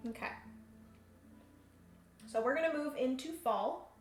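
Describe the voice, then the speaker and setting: A woman's voice: sits high, slow. A woman hosting a solo board game livestream, chatty, thinking aloud and laughing often. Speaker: host. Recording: roomy room echo, faint hum.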